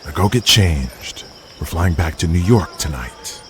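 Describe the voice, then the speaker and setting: Male actor as smug promoter, deep-leaning, terse and measured, audio drama with clear ambient noise. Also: animated, a bit bright. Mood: smooth, controlling. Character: cool, calculating male wrestling promoter, commanding. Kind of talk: audio drama character acting.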